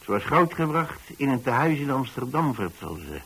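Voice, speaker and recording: A man's voice: medium pitch, big pitch swings, moderate pace, a bit bright, husky, full character voice, low-quality recording. An actor playing an older, plain-spoken pensioner in a radio drama, telling his story in a hesitant, repetitive, folksy way. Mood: musing. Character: rambling, plain-spoken old pensioner who takes in lodgers. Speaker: narrator of a radio play.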